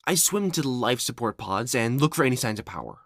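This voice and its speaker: A woman playing an excitable, cheerful young monster gamer in an audio roleplay, eager and supportive. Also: very deep voice, brisk, dry recording, clean studio sound, full character voice. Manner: eager, enthusiastic.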